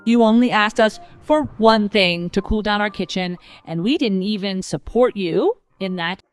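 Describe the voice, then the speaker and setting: A woman's voice: medium pitch, normal speed, no echo, faint music behind, lightly acted. A woman playing a conciliatory, apologetic mother-in-law in a family cartoon story.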